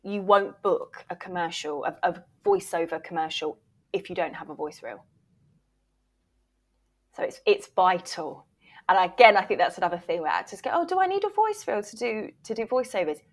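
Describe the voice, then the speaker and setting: A woman's voice: lowish voice, very animated, normal speed. A woman speaking as an interview guest, explaining things conversationally and emphatically. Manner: emphatic, often voices imagined questions from actors. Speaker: interview guest, voice reel producer and former voiceover agent.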